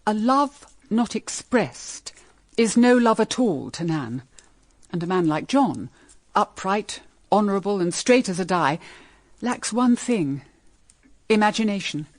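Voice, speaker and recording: An actress playing an anxious, brooding middle-aged British mother in a period radio drama, with grave, measured, dramatic phrasing. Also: medium pitch, dry recording, lightly acted. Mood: grave, foreboding. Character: anxious, brooding mother of two grown daughters.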